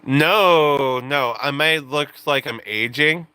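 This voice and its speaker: Bright, clear-voiced young man streaming. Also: on the high side, slow, no echo. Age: 20s. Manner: rambling, self-interrupting, joking. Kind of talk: casual live-stream chat.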